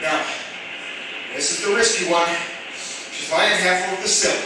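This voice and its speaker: An actor playing a brave, earnest firefighter, muttering with effort and calling out in a radio drama. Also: male voice, sits high, moderate pace, natural voice. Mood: strained. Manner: effortful muttering, calls out to others. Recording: echoey, distant, clearly noisy.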